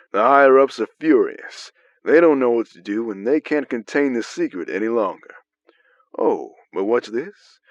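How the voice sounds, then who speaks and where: A man playing a smug, scheming manipulator in an audio drama, with a theatrical, unhurried delivery. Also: medium pitch, lightly acted. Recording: dull lo-fi, roomy echo.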